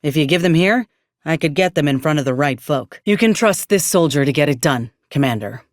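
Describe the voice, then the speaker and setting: An actress voicing a frank, loyal frontier soldier in a video game. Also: female voice, low-pitched, animated, brisk, dry recording, clean studio sound. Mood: solemn, heartfelt. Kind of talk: scripted video game character dialogue.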